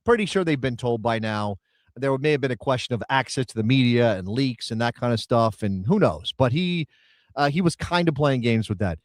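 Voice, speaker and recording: A man co-hosting a casual soccer talk show, emphatic and animated, often repeating words as he builds a point. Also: mid-range voice, normal speed, dry recording. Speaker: co-host and radio broadcaster.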